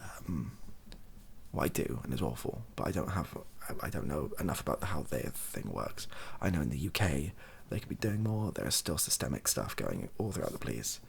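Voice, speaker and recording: A British man speaking softly in a rambling, hesitant, improvised opinion monologue for an online video. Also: rumbling low, big pitch swings, normal speed, creaky, slight background noise. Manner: soft-spoken, hesitant and rambling.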